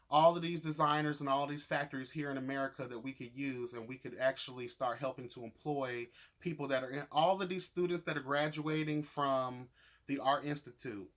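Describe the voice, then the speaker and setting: A man with a voice pitched a little higher than most delivers a casual review as a vlogger. He is sassy and opinionated, leaning hard on his points. His wording is slangy and he swears freely. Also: sharp tone. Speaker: vlogger reviewing reality show episodes.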